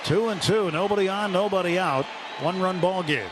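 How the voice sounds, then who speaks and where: A man calls baseball from a stadium booth, his voice sitting a bit high. Loud crowd noise roars behind him on the radio broadcast. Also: moderate pace. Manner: calls big plays with rising, shouted excitement. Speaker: play-by-play announcer.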